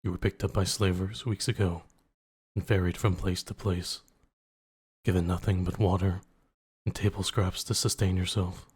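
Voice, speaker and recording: A man's voice: low-pitched, flat delivery, normal speed, darkish tone, husky. A man narrating a scripted story in the second person, as an introduction to a roleplay.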